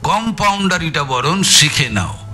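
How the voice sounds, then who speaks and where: A man's voice: a little high, very animated, a little slow, pushed and bright, faint music behind, exaggerated voice. A man playing a shrewd, teasing older amateur detective in an audio drama, drawling and musing with thoughtful hums. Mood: teasing, amused. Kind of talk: dramatised audio story acting.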